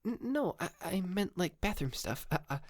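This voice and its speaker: A man's voice: high voice, sing-song, normal speed, dry recording. A man playing a shy, polite, clingy yandere kidnapper in an intimate ASMR roleplay, stammering and apologetic, close to the mic. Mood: flustered. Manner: hesitant, stammering, nervous giggles, apologetic. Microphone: close microphone, dry.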